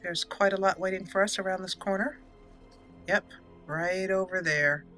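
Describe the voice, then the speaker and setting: A woman's voice: mid-range voice, fairly even intonation, normal speed, music in the background. A woman giving casual, chatty let's play commentary as a gaming host, playfully exclaiming and talking to the characters on screen.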